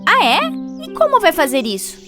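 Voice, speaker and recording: A woman plays a clever, sassy female cartoon frog. The voice is high and lively, with a cheeky confidence. Soft music sits behind it. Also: moderate pace, heavily acted. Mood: challenging. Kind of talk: children's cartoon fable acting.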